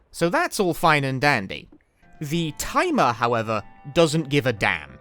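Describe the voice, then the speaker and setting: A man reads his own scripted video essay with a lively, swooping intonation and a dry, wry sense of humor, dropping casual asides. His pitch sits in an ordinary middle range for a man, and faint music plays underneath.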